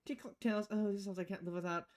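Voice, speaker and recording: A woman's voice: mid-range voice, fairly even intonation, very fast, a little mellow. A woman streaming a visual novel, reading the text aloud expressively and often stumbling and correcting herself. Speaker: video game streamer.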